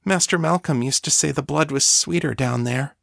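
A man voicing a timid, submissive half-elf servant woman in an audiobook, hesitant and pleading in a soft voice. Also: a little high, normal speed, vocal fry, no room sound, clean studio sound, pitched above the performer's own voice. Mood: anxious, coaxing. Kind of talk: audiobook character acting.

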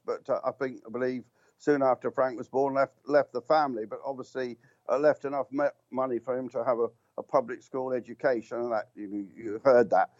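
A man's voice: medium pitch, darkish tone, no echo. Older man reminiscing, muffled dry line. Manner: rambling, anecdotal, frequent restarts and ums. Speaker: guest motorsport commentator. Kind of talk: reminiscing radio talk.

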